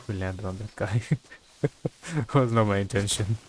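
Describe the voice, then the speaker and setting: A man's voice: lowish voice, slow, darkish tone, a little hiss or hum. A man doing live video game commentary as a streamer, casually muttering his thoughts aloud as he plays.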